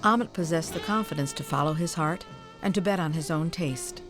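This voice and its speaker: A woman reads scripted documentary narration in a measured, formal storytelling manner. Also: low-pitched, music in the background.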